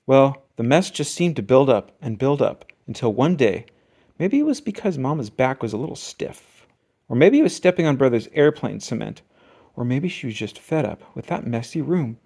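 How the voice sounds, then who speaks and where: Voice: medium pitch, big pitch swings, normal speed, warm tone, husky. An adult reader narrating a children's picture book expressively, drawing out key words for emphasis. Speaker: storybook reader. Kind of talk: scripted children's storybook narration.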